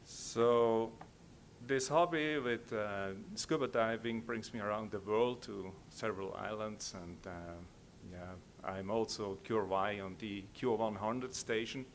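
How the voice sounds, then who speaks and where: A middle-aged man with a Swiss accent giving an informal slide presentation in a halting, hesitant way. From Switzerland. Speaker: conference speaker. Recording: low rumbling background noise.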